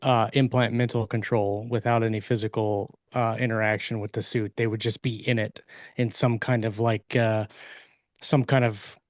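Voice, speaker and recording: A male podcast co-host chatting casually, haltingly searching for his words. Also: lowish voice, level tone, no room sound, studio quality. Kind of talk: casual chat.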